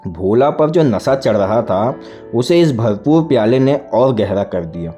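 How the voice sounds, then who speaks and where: A man's voice: medium pitch, expressive, a little slow. A man narrating a literary story as a scripted audiobook reading. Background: soft music.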